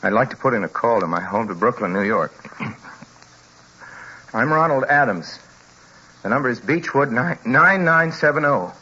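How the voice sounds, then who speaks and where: A man playing a frightened, haunted young traveler in a 1940s radio drama, speaking with halting, dramatic intensity. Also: mid-range voice, expressive, bright, forceful tone, slightly rough, heavily acted. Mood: anxious. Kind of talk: 1940s radio drama acting, first-person narration. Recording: muffled, noisy old radio recording.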